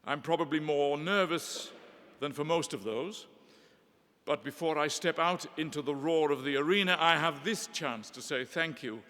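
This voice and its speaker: Middle-aged guy from northeast england, formal speech, bright voice, lots of hall echo. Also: fairly high pitch. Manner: measured, eloquent, gently humorous. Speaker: ceremony speaker. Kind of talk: formal ceremonial address. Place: large hall. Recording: long hall reverb.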